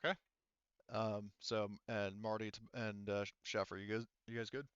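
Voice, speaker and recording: Rambling man running a tabletop game, smooth voice. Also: on the low side, little pitch movement, moderate pace, fairly bright tone, no echo, highs cut off. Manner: rambling, informal, explanatory. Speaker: game master. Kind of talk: casual narration of a tabletop game.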